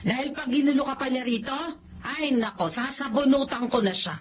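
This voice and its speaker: A woman's voice: high-pitched, brisk, lo-fi sound, a little hiss or hum, close to an everyday voice. An actress playing a feisty, protective middle-aged mother in a Filipino radio drama. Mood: feisty, warning. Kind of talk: Tagalog radio drama acting.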